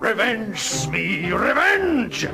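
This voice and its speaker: Furious, high-pitched, gravelly captain. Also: male voice, huge pitch swings, very slow, over music, full character voice. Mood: vengeful fury. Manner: bellowing, commanding. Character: furious, vengeful pirate captain. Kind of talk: children's storybook record acting.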